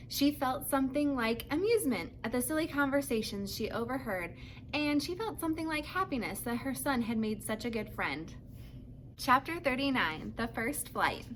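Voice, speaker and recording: A woman's voice: a little high, a little hiss or hum, rough recording. A woman narrating a children's book as a read-aloud, telling the story to young listeners. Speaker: storybook reader.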